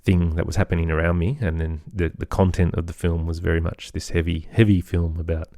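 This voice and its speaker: An Australian man speaking as an interview guest, thoughtful and halting as he searches for his words in reflective conversation. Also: deep voice, level tone, dark tone, dry recording, studio quality. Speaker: guest, composer and children's podcaster.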